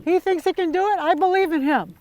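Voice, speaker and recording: A kind, wise creator hero from an old legend, as a woman storyteller puts him on, gentle and reassuring. His voice sits high and light. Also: brisk, no room sound. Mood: protective.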